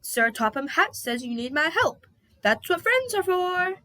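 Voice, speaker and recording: A woman's voice: very high-pitched, very animated, a little slow, pushed and bright, voice pushed up, exaggerated voice. A woman voicing a breezy, boastful, eager male helicopter in a children's storybook, chirpy and exclaiming.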